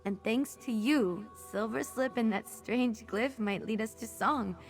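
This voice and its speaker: A woman playing a loyal, warm-hearted young former pickpocket in a video game, speaking in an earnest, heartfelt way. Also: fairly high pitch, unhurried, soft background music. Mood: relieved, grateful. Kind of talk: video game character dialogue.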